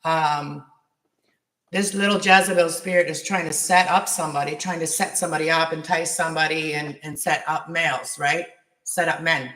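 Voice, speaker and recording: A man with a raspy voice hosting a tarot livestream, rambling with many restarts and fillers. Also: a little high, fairly even intonation, moderate pace, sharp tone, roomy sound, low-quality recording. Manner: meandering, many restarts and fillers, spiritual talk. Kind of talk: rambling live-stream monologue. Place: apartment at home.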